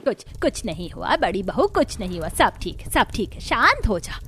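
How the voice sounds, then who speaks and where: A woman's voice: mid-range voice, sing-song, moderate pace, fairly bright tone. A woman voicing a bossy older mother-in-law in a cartoon, with a commanding manner. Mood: soothing. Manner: commanding, scolding.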